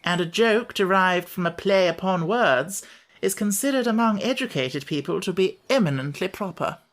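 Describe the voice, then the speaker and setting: A man's voice: sits high, expressive, moderate pace, fairly bright tone, pitched above the performer's own voice. A man voicing a pompous, over-educated male insect who lectures stiffly and firmly.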